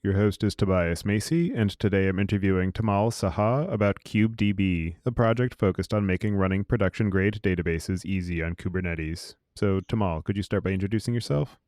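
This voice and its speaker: Low-voiced man hosting, very dry sound. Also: a little fast, few pauses, a little mellow, no room sound. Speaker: podcast host. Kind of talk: scripted podcast read and interview.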